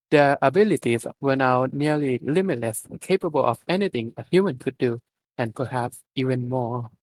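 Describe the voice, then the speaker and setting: A man with a mid-range voice reads a fan story aloud. His delivery is plain and steady, with the occasional small slip. Nothing about his voice stands out as high or low. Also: no echo, rough recording. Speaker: fanfic reader.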